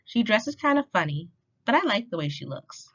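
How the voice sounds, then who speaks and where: Expressive woman reading aloud, lively pitch. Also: moderate pace. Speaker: storytime reader. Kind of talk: children's picture book read-aloud. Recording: dull lo-fi sound.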